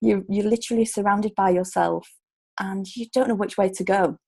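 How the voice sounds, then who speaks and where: A woman's voice: medium pitch, steady tone, normal speed, vocal fry, darkish tone, breathy edge. A woman in her thirties with a Yorkshire accent, talking easily as a guest in a casual interview. She chats freely and laughs often. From Hull, Yorkshire, England. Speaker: guest, relocation coach.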